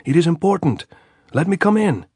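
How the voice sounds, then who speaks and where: A man voicing a desperate young male guerrilla who shouts and pleads up at a guarded trench. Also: on the high side, very animated, moderate pace, breathy edge, no echo, studio quality, pitched above the performer's own voice, full character voice. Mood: frantic shouting.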